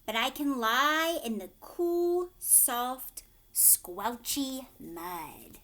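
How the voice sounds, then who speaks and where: Woman reading as an emphatic, slow storybook rhino. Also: high voice, lively intonation, bright, forceful tone, full character voice. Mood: contented. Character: storybook rhino making earnest announcements.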